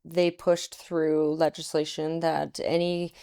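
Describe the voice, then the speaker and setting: A woman's voice: sits low, level tone, takes it slowly, mellow, smooth. A woman from Canada, a guest on a podcast, giving brief answers with frequent ums. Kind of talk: casual interview chat.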